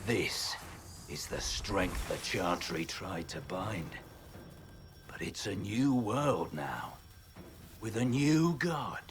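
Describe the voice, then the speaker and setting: An actor playing a bitter, defiant warrior general, a proud and menacing villain in a video game. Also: male voice, fairly low pitch, lively intonation, slow pace, noisy background.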